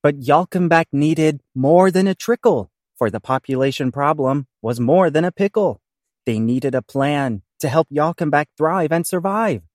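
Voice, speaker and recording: A man's voice: fairly high pitch, lively intonation, normal speed, darkish tone, dry recording, clean studio sound. A man narrating a comic audio play in theatrical, rhyming scripted verse.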